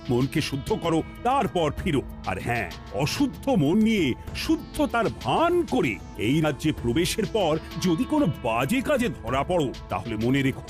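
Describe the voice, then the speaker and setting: A man voicing a stern, easily outraged cartoon king who scolds and commands with emphatic repetition. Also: fairly high pitch. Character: indignant, stern but simple cartoon king.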